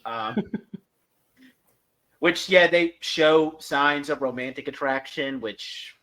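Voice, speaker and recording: A man co-hosting a podcast, recapping and reviewing episodes casually in a halting, piecemeal way. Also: fairly high pitch, pushed and bright. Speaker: podcast co-host.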